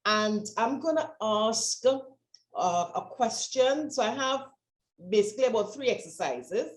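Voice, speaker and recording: A woman's voice: fairly high pitch, animated, a little slow, roomy sound, rough recording. A woman with a Trinidadian accent, a communication trainer giving encouraging, instructive coaching in an online workshop over a video call. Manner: encouraging, instructive, stretches words.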